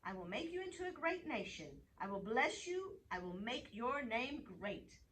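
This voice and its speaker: A woman hosting a children's Bible story time, animated as she reads and chats directly to young listeners. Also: fairly high pitch, normal speed. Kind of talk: reading bedtime Bible stories to children, chatting with a puppet. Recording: distant mic, faint background noise.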